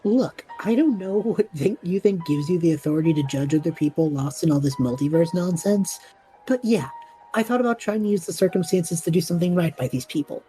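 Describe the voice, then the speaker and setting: Woman as brash young wanderer, deep for a woman, mellow, flippant, audio drama, faint music. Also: fairly quick, lightly acted. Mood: defiant. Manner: casual, flippant. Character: brash, sarcastic young wanderer named Trevor, warm toward kids.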